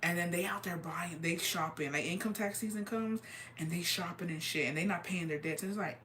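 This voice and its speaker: A deep-voiced woman from Texas chatting casually and gossiping on a livestream, slangy and loose, dropping in Spanish phrases. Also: a little fast, fairly bright tone, a little hiss or hum. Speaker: livestreamer reading viewer comments.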